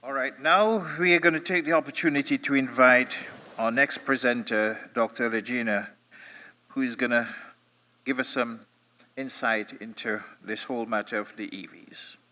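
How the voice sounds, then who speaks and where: A man moderating a conference, introducing the next session and presenter in a measured, emphatic way. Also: mid-range voice, steady tone, pushed and bright. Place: conference hall. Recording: reverberant conference hall.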